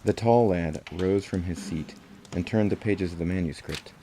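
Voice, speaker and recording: A man narrating a classic tale in a dramatic storybook style, with a gravelly voice. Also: low-pitched, moderate pace, dark tone, slight background noise. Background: music.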